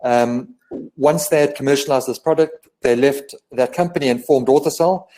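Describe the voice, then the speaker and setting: A man explains his company's work over a video call at an even middle pitch. He talks fluently but fills in with ums and restarts as he goes. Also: moderate pace, rough recording. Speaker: guest from a medical company.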